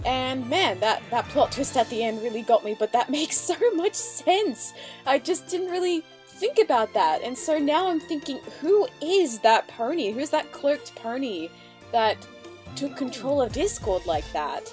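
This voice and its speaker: A woman with a fairly high voice gives casual reaction commentary. She talks as the host of her own video, with music playing behind her. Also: lively intonation, measured pace, a little mellow. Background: background music.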